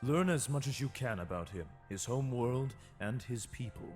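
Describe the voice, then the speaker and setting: Male actor as imperial captain for sci-fi audio comic. Medium pitch, businesslike, commanding, clipped; soft music bed. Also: expressive, normal speed, natural voice. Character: brisk, authoritative male space-navy captain. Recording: faint music behind the voice.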